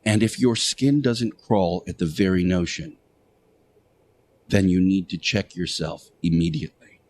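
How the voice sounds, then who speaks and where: A man delivering a sermon-like radio monologue as a show host, speaking emphatically and preachily with deliberate rhetorical repetition. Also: sits low, a little mellow, a little hiss or hum.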